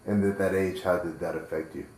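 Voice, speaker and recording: A man with a deep, mellow voice asks brief, plain questions. His voice rings a little in an echoey room. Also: fairly even intonation, a little fast. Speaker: interviewer. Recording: echoey room, faint noise.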